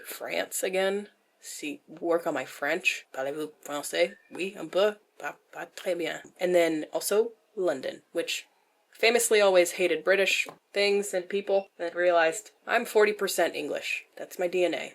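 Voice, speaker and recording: A young adult woman with a nasal voice answers questions in a casual vlog style, candid and self-deprecating, with dry jokes and swearing. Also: lowish voice. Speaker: vlogger.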